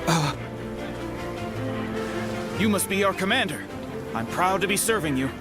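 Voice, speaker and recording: An actor playing a nervous, eager, deferential recruit in a video game. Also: male voice, high voice, very animated, measured pace. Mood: nervous, proud. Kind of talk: English video game dub acting. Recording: loud background music. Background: loud music.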